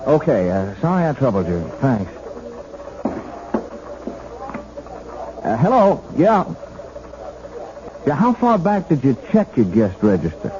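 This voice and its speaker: Casting: persistent street cop, colloquial and animated, vintage radio drama, muffled noisy tape. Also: male voice, mid-range voice, moderate pace. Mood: puzzled, determined. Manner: colloquial, hesitant openers, insistent. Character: dogged, plain-spoken male beat police officer. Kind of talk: 1970s radio drama acting. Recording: noisy, dull 1970s radio broadcast.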